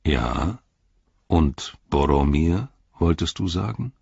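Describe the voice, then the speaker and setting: A man voicing a grave, stern captain of men who speaks slowly, quietly and formally in a storybook reading. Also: rumbling low, animated, gravelly, breathy edge, full character voice. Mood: probing. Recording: slightly dull, roomy sound.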